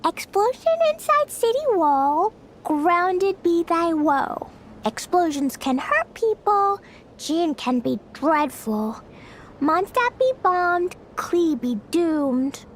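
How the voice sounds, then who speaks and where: A woman's voice: very high voice, big pitch swings, takes it slowly, a little hiss or hum, heavily acted. A woman voicing a cheerful, mischievous little girl in a video game, with a childlike, singsong way of talking. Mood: solemn singsong reciting. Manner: childlike, singsong, speaks of herself in third person. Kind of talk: video game character voice lines. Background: background music.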